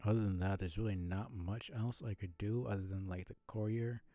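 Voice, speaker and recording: A man streaming a video game with casual commentary, musing and thinking aloud in a low-key way. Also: steady tone, fairly quick, mellow, no room sound.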